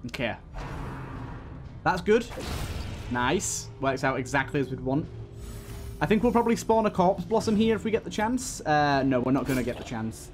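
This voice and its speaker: A man with a slightly high voice narrates his video game play casually. He thinks out loud and speaks to the characters as he goes, with music playing behind him. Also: big pitch swings, moderate pace, long pauses. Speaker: gaming video host. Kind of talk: casual gameplay commentary.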